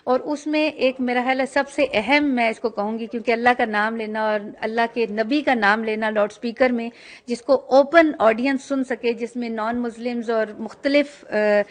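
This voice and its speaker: A woman from the community giving her opinion in a news interview. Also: fairly high pitch.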